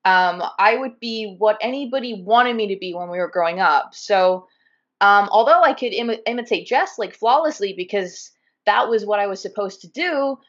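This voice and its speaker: A woman with a Californian accent chatting in an animated, casual way, full of fillers like "um" and "like," over a video call. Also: medium pitch, normal speed. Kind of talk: casual conversation. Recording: muffled video call audio.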